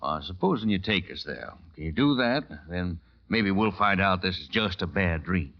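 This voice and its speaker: Gruff, deep-voiced police sergeant, vintage radio. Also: male voice, lively intonation, moderate pace. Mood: puzzled. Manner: clipped, colloquial, businesslike. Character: gruff skeptical New Orleans police desk sergeant. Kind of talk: 1940s radio drama acting. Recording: muffled noisy old-time radio recording with clicks.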